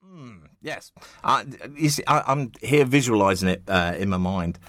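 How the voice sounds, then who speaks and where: A man's voice: on the low side, animated, moderate pace, fairly bright tone, dry recording, clean studio sound. A man hosting a conversational radio interview in a chatty, rambling, enthusiastic way.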